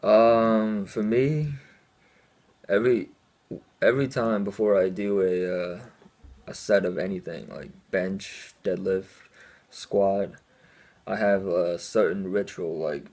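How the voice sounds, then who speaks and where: Young guy chatting about his gym habits on camera, kinda husky, lowish voice, small room at home. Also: takes it slowly. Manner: relaxed, laughs often, reads out the questions. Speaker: fitness channel co-host. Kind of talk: casual chat.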